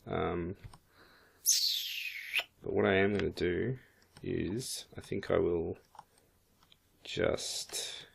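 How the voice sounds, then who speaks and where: A man with a croaky voice, casually thinking aloud as he walks through a 3D modeling software tutorial. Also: low voice, level tone, extremely slow, long pauses. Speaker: 3D modeling instructor. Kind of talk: screen-recorded software tutorial.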